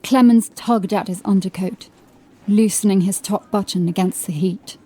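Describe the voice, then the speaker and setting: A woman narrating scripted horror fiction as a dramatic storyteller, with a mellow voice. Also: mid-range voice, moderate pace, husky.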